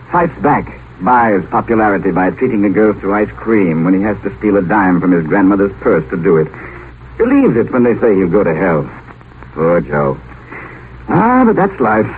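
An actor playing a mild middle-aged idealist in a 1950s radio drama, musing with gentle irony and small hesitations. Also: male voice, fairly low pitch, sing-song, normal speed. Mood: wry, musing. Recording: tinny noisy old radio broadcast.

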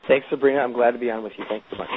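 A man's voice: mid-range voice, fairly even intonation, rapid-fire, husky. A man interviewed as a guest author, explaining earnestly and often restarting his sentences. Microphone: phone. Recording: tinny phone line with faint hiss.

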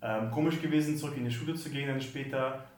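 A man hosting a podcast, reading the intro and then asking his guest relaxed questions. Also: medium pitch, fast. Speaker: podcast host. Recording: echoey room, distant from mic, faint music.

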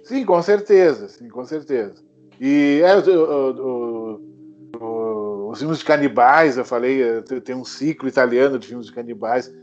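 A man speaking as the invited expert, explaining in a halting way and often repeating words as he searches for them. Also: mid-range voice, darkish tone, muffled recording, soft background music. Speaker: film genre expert guest. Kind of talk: casual explanatory chat.

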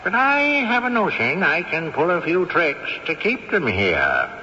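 An actor playing a sly old ghost, genial and self-satisfied, in theatrical old-time radio style. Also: male voice, on the high side, big pitch swings, measured pace, in a large hall. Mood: sly, cajoling. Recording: dull, faintly noisy radio drama recording.

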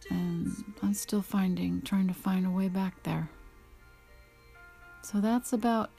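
A middle-aged American woman talking informally and personally about her own paintings, halting, reflective and emotional. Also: fairly low pitch, creaky, warm tone. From United States.

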